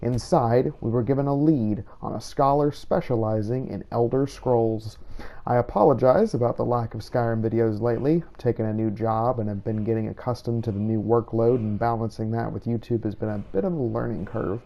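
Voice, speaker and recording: A man's voice: medium pitch, steady tone, a little fast, creaky, warm tone. A man narrating a gaming video in a scripted style, with roleplay monologue. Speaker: gaming video host, player.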